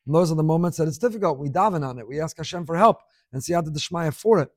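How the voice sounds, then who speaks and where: A man's voice: on the high side, expressive, quick pace, dry recording, clean studio sound. A man giving a spoken Torah class, teaching in an earnest, conversational way with emphatic repetition.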